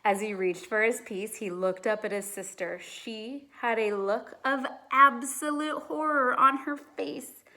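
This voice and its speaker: A woman narrating a children's storybook like a teacher reading aloud, with dramatic, deliberate emphasis. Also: a little high, sharp tone.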